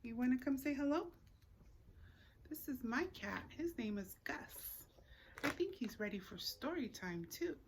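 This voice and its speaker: A woman teacher reading a picture book aloud to young children, expressively, pausing to put questions to the listeners. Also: high voice, lively intonation, a little hiss or hum. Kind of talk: read-aloud story time for young children.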